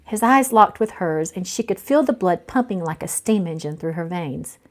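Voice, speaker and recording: Expressive woman reading a story aloud. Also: fairly low pitch, moderate pace. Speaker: author reading own novel aloud. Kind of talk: animated audiobook-style narration.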